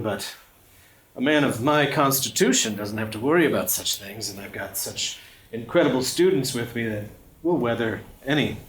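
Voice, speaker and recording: A man playing a tabletop game in character as a self-assured professor, speaking in a breezy way with small hesitations. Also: medium pitch. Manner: confident and breezy, with hesitant restarts. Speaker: player. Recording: echoey room.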